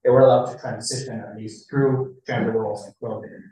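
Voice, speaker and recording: A man's voice: mid-range voice, steady tone, a little fast, warm tone. Man lecturing in an echoey room, distant. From Ecuador. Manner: explanatory, often tags points with "right?". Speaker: professor giving a public talk. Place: library meeting room.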